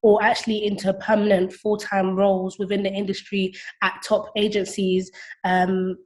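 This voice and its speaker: A young woman in her twenties answers interview questions on a video call. Her voice is medium in pitch with very little melodic movement. She explains things as a founder being interviewed. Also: flat delivery, normal speed, poor audio. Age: 20s. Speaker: interview guest, platform founder.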